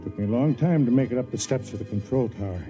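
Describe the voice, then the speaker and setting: An actor playing an old, fat, world-weary space station official who narrates in a measured, deliberate way in a 1950s radio drama. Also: male voice, medium pitch, lightly acted. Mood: somber reflection. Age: older. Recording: 1950s radio recording with music.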